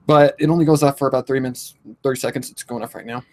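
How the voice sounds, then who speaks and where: A man of twenty-two speaking emotionally to his followers in a halting, repetitive, pleading way, distressed and close to tears, with slightly affected speech. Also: mid-range voice, steady tone, a little mellow. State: distressed, tearful. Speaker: vlogger. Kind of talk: emotional confessional talk to followers.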